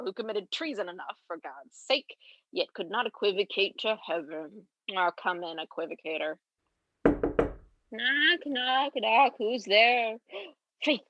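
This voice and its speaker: A woman's voice: mid-range voice, fairly bright tone, no echo, dull audio. A young woman playing a drunken, bawdy, grumbling castle porter in a comic amateur Shakespeare monologue with exaggerated, tipsy delivery. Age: young adult.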